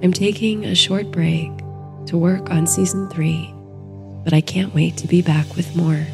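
Slow, hushed woman narrating a bedtime story. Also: on the low side, steady tone, vocal fry, music in the background. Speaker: host and narrator of a sleep story series.

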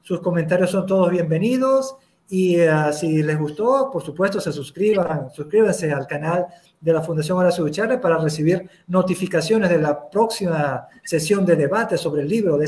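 High-voiced host. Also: male voice, darkish tone. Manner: friendly, hospitable moderator. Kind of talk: video call debate. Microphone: video call.

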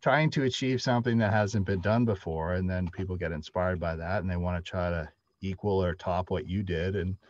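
Lowish-voiced middle-aged man, dry dull sound. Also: fairly quick, few pauses, no echo. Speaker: panelist, audio drama writer. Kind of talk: casual panel talk. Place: indoor room, on a video call. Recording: dull, lo-fi video call audio.

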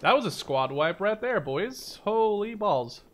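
A man's voice: sits high, big pitch swings, a little slow, fairly bright tone. Man commentating over noisy game gunfire. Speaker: gaming video host. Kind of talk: casual first-person gameplay commentary. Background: video game gunfire and artillery.

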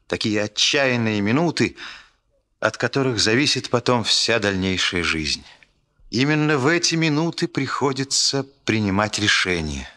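An actor playing the earnest, solemn storyteller of a Soviet radio play, narrating in an emphatic, rhetorical way. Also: male voice, on the low side, sharp tone.

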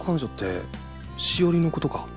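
A woman voicing a hopeful, easily flustered teenage boy in a casual, expressive audio drama style. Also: low-pitched, moderate pace, soft background music. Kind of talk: scripted Japanese anime-style audio drama acting.

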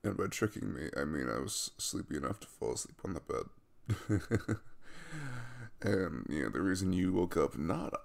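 A man's voice: low-pitched, animated, normal speed, creaky, exaggerated voice. A man playing a sleepy, caring young man in an intimate ASMR roleplay, speaking casually and hesitantly in a mumble. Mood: sheepish, fond. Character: sleepy, caring young man waking in his crush's bed.

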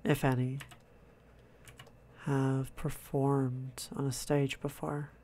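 A man quietly narrating his own drawing process, in a soft-spoken, calm way, thinking out loud. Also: medium pitch, slow pace, slight background noise. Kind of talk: casual relaxed commentary over drawing.

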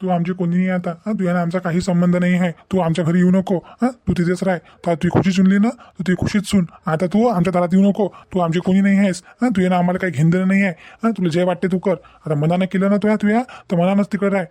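A man voicing an aggrieved young husband in an animated family drama, halting and repetitive. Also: high-pitched, lightly acted. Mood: scolding. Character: aggrieved young husband recounting insults from his in-laws.

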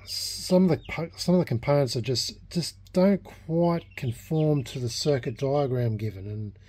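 An Australian man talking casually and unscripted in a workbench vlog, halting and thinking aloud as a hobbyist. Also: mid-range voice, a little mellow. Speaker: hobbyist vlogger restoring a vintage radio. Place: small indoor home workshop at a bench.

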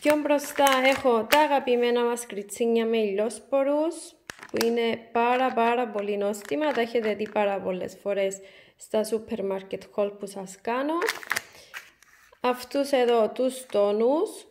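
A woman's voice: on the high side, a little hiss or hum. A woman, chatting to camera and showing her groceries one by one in a casual home vlog. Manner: chatty, warm sign-off, item-by-item show and tell. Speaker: vlogger. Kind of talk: casual supermarket haul vlog. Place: bright room by a window, indoors.

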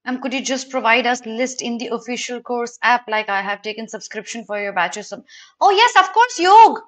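A woman's voice: on the high side, animated, normal speed. A young woman with an Indian accent teaching a live online exam prep class, giving instructions directly to students.

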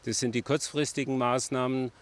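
A man's voice: mid-range voice, flat delivery, fairly quick. A middle-aged man, a city official, giving a formal, structured interview outdoors into a handheld mic. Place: outdoors by a stream. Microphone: handheld reporter mic.

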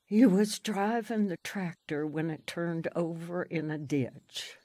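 A woman's voice: lowish voice, slow, mellow, dry recording. A woman playing a pious, plainspoken old country grandmother in a radio drama, solemn and folksy in manner. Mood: grave, consoling.

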